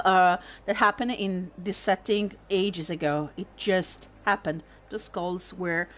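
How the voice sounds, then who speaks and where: A woman with an Italian accent, casually commenting on a game stream in a sardonic, animated way and swearing freely. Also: mid-range voice. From Italy. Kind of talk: casual let's-play commentary.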